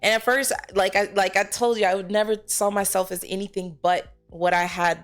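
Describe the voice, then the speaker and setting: A woman's voice: mid-range voice, steady tone, fairly quick, faint music behind. Candid woman chatting as an interview guest. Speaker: interview guest, actor and filmmaker. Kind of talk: casual conversational interview.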